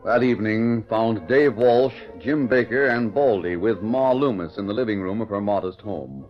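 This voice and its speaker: A man's voice: lowish voice, normal speed. A man narrating a 1940s radio drama in a scripted announcer style. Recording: dull vintage radio recording with music. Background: music.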